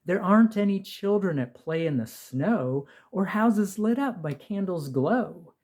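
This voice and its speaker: A man voicing a stately old earth-goddess crone in a storybook poem, solemn, coaxing and motherly as she gently chides. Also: high-pitched, expressive, a little slow, pitched above the performer's own voice, exaggerated voice. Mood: gently chiding.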